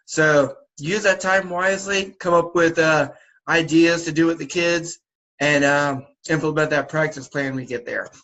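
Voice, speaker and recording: A man with a sore throat, sniffling a little, briefing volunteer youth basketball coaches over a video call in a relaxed, repetitive way with many fillers. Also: a little high, normal speed, poor audio. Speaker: youth basketball league organizer.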